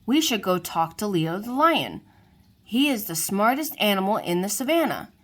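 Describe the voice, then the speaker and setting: Ginger is a kind and helpful young giraffe from a children's storybook, voiced by a woman reading aloud. She talks in a bright, eager way, like a good friend always ready to help, in a middle-pitched voice. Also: very animated, normal speed. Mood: friendly.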